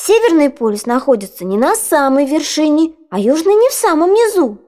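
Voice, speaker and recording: A curious young child in an educational audio play. The voice is high and soft-edged, with very lively swoops, full of naive questioning. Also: female voice, fairly quick. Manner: inquisitive, naive.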